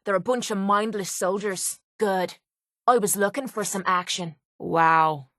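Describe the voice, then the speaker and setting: A woman's voice: mid-range voice, unhurried, a bit bright, no room sound, clean studio sound, natural voice. A woman playing a tough, cocky young brawler in a video game, with an Irish accent and a blunt, sardonic manner. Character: tough, brash, foul-mouthed young woman brawler and mercenary. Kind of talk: video game companion dialogue.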